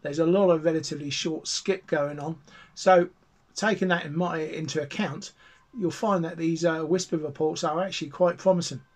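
A man's voice: on the high side, fairly even intonation, moderate pace. A middle-aged man with a British accent giving a casual, enthusiastic, rambling explanation as a hobbyist video host. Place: small cluttered home radio room, indoors.

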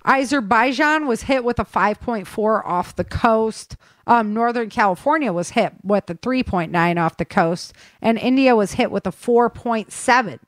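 A woman's voice: fairly high pitch, dry recording. An American woman hosting an informal podcast news roundup, chatty and speaking straight to her listeners with opinionated asides. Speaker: podcast host reading news.

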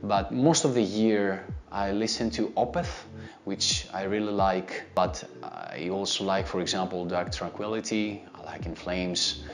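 Deep-voiced Greek man teaching guitar. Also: a little slow. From Greece. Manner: relaxed, explains step by step with many fillers; gestures with his hands while talking. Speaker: guitar teacher answering viewer questions. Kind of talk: casual instructional talk to camera. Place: indoor studio with a plain grey backdrop. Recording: soft background music under the voice.

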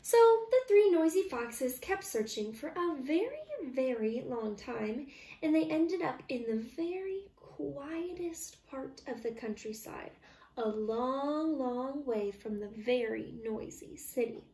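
A young woman teacher reading a children's storybook aloud in an expressive, deliberate way. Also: high-pitched. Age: young adult. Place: small living room at home, indoors. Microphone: camera a short distance away. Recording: roomy, echoey, distant microphone.

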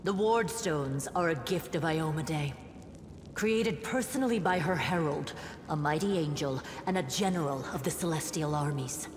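A woman's voice: sits low, moderate pace, a little hiss or hum, natural voice. A woman playing a stern, resolute military commander in a fantasy game, with a formal, grave and commanding manner. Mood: grim, businesslike. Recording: echoey reverberant hall, game music behind.

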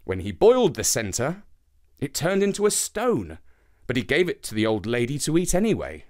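A man narrating a scripted children's story in a theatrical, expressive way. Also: mid-range voice, moderate pace.

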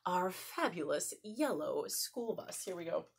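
A woman's voice: fairly low pitch, lively intonation, measured pace, gravelly. A woman in her thirties hosting an interactive children's storytime, encouraging listeners and prompting them with questions. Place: carpeted home living room, indoors. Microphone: camera a couple of metres away.

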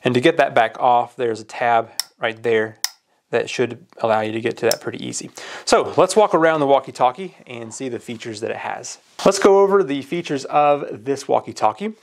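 Regular guy doing a hands on product demo, medium pitch, explains stuff plainly step by step. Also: gravelly. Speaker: product reviewer. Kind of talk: casual hands-on product walkthrough. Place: indoors at a work table.

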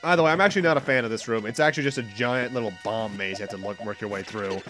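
A man's voice: lowish voice, very fast, a bit bright. A young man with a stuffy nose giving chatty, casual commentary on a game as he plays, now and then correcting himself. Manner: chatty, self-correcting, occasional laughs. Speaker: let's play host. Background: game music.

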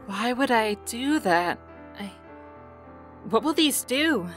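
A woman's voice: fairly high pitch, animated, slow pace, faint music behind. A woman playing a kind-hearted, wary young mortal in a fantasy audio drama, speaking hesitantly. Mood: doubtful.

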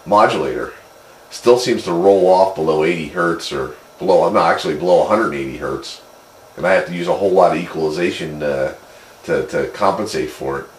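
A man chatting casually over two-way radio as a hobbyist, explaining technical things in a rambling way. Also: extremely low pitch. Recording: roomy, noisy CB radio speaker pickup.

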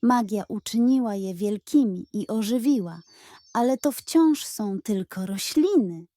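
A woman's voice: medium pitch, lively intonation, a little slow, mellow, no echo, natural voice. A woman voicing a brave, wise little male rabbit who takes charge in a children's storybook. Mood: urgent, commanding.

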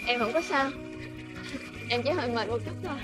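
A woman playing a young, gentle, hardworking peasant wife in a televised fairy tale, with a Southern Vietnamese accent and a soft pleading manner. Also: fairly high pitch, expressive, fairly quick, loud music behind the voice, close to an everyday voice. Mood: tired, reassuring.

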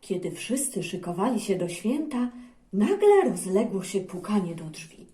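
A woman narrating and chatting calmly about a children's story. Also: medium pitch, recorded from a distance, heavy background noise. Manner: soft, slow, calm. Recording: echoey room.

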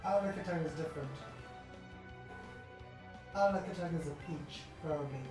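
A streamer rambles through a gaming session, reading out viewer messages between thoughts. The voice sits in a roomy, echoing space. Game music plays clearly underneath. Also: male voice, a little high, moderate pace. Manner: rambling, reads chat messages aloud. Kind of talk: casual gaming chat.

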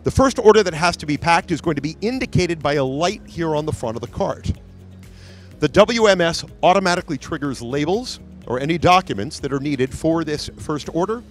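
Upbeat trade-show presenter, higher voice. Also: male voice, expressive, a little fast, faint music behind. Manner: upbeat, salesy, pointing things out. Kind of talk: live product demo narration. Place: large convention hall trade booth. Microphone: handheld mic.

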